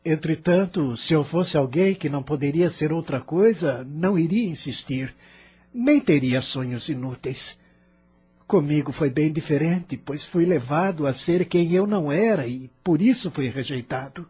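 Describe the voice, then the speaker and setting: Man acting embittered immigrant, Brazilian accent, higher-pitched, very husky, stubborn, self-pitying, audio drama. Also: unhurried, darkish tone. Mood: resentful arguing. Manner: argumentative, self-pitying, emphatic. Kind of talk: dramatized audio novel dialogue.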